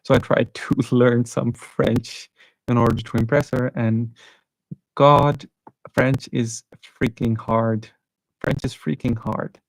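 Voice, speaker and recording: A man's voice: medium pitch, flat delivery, slow pace, no echo, poor audio. A young man from South Asia hosting a live stream, telling travel stories in a rambling, casual way with many restarts. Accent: neutral international English, worked on. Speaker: channel host.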